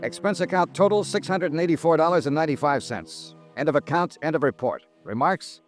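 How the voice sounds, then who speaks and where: An American actor playing a cool, accusing insurance investigator in a 1950s radio drama, with a clipped, deadpan delivery. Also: male voice, fairly high pitch, brisk, natural voice. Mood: dry, sardonic. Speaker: lead actor and narrator. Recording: vintage radio drama, dry, music behind.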